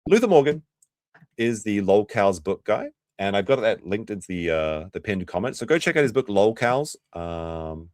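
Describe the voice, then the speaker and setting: Aussie streamer bloke, low voice, swings up and down a lot, dry close mic. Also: male voice, dry recording. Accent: Australian. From Australia. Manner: chatty, sarcastic, laughs a lot. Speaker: streamer reacting to clips. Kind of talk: casual livestream commentary.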